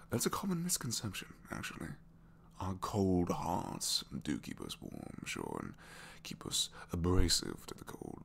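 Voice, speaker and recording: A man's voice: low-pitched, vocal fry, heavily acted. A man voicing a reluctant captured supervillain, dry, sheepish and complaining, in a hesitant, rambling way, for an intimate ASMR roleplay. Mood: grumbling, cold. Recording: faint background noise.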